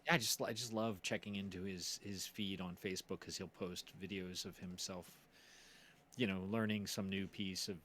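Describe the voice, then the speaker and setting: A man hosting a casual livestream chat, telling stories in a rambling, halting way. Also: sits low, fairly bright tone, slight background noise. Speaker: stream host and series producer.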